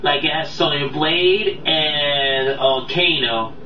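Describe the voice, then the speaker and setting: A man hosting a casual countdown review, talking off the cuff in a rambling, halting way that often loses its thread. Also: a little high, soft background music. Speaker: video countdown host and reviewer. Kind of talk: casual unscripted review talk to viewers. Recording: roomy, distant, dull mic, faint noise.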